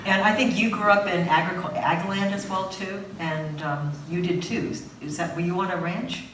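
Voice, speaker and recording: A low-pitched woman moderates a panel at a film festival. She talks haltingly, with lots of fillers and restarts, and her voice is loud. The sound is heavily echoey, picked up from a distance with audible room noise. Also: fairly even intonation. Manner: says she has a loud voice. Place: festival screening venue.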